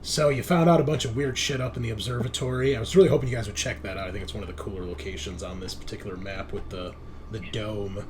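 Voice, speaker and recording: A man's voice: fairly low pitch, steady tone, quick pace. A man hosting a tabletop game as game master, narrating casually with joking, sweary banter. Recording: background noise, room ambience.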